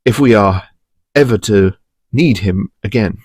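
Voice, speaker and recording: A man voicing a deferential older male butler with a husky voice and formal, polite manner in a story reading. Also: fairly low pitch, unhurried, darkish tone, dry recording, voice pushed down. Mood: courteous.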